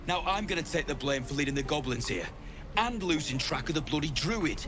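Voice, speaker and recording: An actor playing a gruff, cynical mercenary rogue in a fantasy game, with a British accent and slangy, sardonic delivery. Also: male voice, fairly high pitch, lively intonation, a little fast, over music, close to an everyday voice. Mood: gruff, wry.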